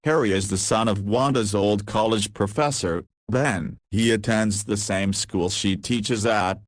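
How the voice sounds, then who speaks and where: A man's voice: on the low side, a little slow, dry recording, studio quality. A man reading scripted story narration as the narrator.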